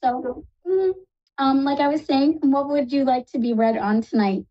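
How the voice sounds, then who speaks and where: A woman with a New York accent hosting a live psychic call-in show, talking fast and reassuring callers affectionately. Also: high voice, dull audio. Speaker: psychic radio host.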